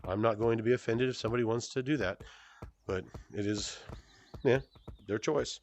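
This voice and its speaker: A good-humored man in his forties chats through a fan Q&A while playing a VR game. He talks at an ordinary pitch and rambles, trailing into fillers and correcting himself mid-sentence. His voice comes through a headset mic. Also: moderate pace, a little mellow, slight background noise. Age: 40s. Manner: rambling and self-correcting, with many fillers and restarts. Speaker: gaming YouTuber answering fan questions.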